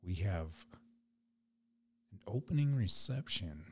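Raspy, deep-voiced man rambling slowly. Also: animated. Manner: rambling, loose, laid-back. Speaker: podcast host. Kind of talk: casual solo podcast chat.

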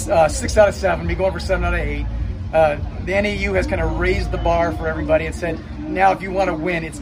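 A man giving an off-the-cuff interview answer, halting and repeating himself as he goes. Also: on the high side, low-quality recording.

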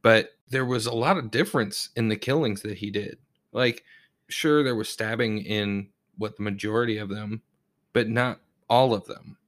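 A man co-hosting a casual true-crime podcast, musing in a relaxed way and reading the show's outro. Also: fairly low pitch, moderate pace. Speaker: podcast co-host.

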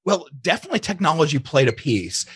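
A man's voice: a little high, big pitch swings, fast, breathy edge, no echo, clean studio sound. A man speaking as an interview guest, chatting casually and telling personal stories, often quoting his own thoughts. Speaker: interview guest, digital marketing entrepreneur.

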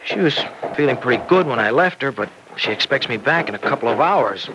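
An actor playing a worried, hard-up young husband in an old radio soap, talking in a hesitant, colloquial, placating way. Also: male voice, medium pitch, animated, fairly quick, natural voice. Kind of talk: 1940s radio soap opera acting. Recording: faintly noisy vintage radio drama recording.

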